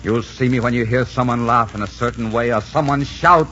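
An actor playing a cocky, teasing pirate lover in the theatrical, flirtatious manner of 1940s radio romance. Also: male voice, mid-range voice, a little fast, bright, forceful tone, lightly acted. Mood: bold farewell. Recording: lo-fi 1940s radio, music behind.

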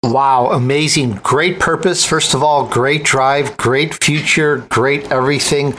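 A man's voice: medium pitch, fairly even intonation, a little slow, fairly bright tone. A man hosting an interview podcast, effusive and heaping praise on his guests. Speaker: show host.

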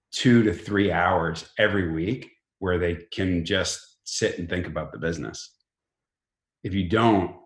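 Middle aged guy, real low voice, casual interview chat, kinda halting, roomy echo. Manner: informal, halting, frequent self-rephrasing. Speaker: company chief executive, podcast guest.

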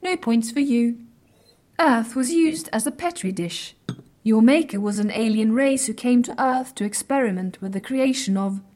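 Haughty, high-voiced female AI, acted by a woman. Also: moderate pace, a little mellow, natural voice. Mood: smug, matter-of-fact. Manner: stilted, precise, formal phrasing without contractions. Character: haughty, literal-minded female artificial intelligence, blunt and condescending. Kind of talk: audio drama acting.

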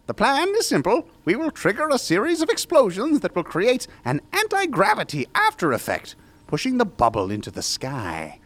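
An actor playing a pompous, oblivious mad scientist in an audio drama, speaking in theatrical, drawn-out phrases. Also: male voice, high voice, wildly swooping pitch, a bit bright, heavily acted. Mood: grandiose. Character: grandiose, oblivious mad scientist, male, giddy with his schemes.

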